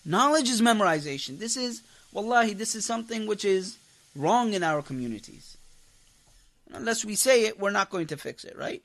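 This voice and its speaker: A man's voice: high voice, lively intonation, normal speed, a bit bright. A man gives an impassioned religious lecture. He speaks as an imam teaching a class, stressing and repeating key phrases and throwing questions out to his listeners.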